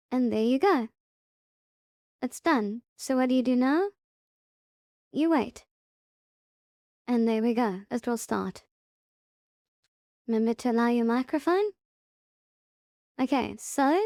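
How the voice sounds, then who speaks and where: A woman presenting a step-by-step software tutorial in short, simple instructional sentences. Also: on the high side, dry recording, studio quality.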